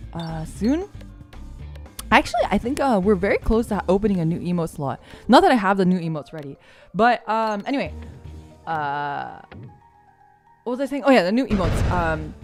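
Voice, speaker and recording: A woman streaming a game, chatting casually with her viewers in a rambling, giggly, self-deprecating way with lots of filler words. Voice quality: lower voice for a woman. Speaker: VTuber streamer.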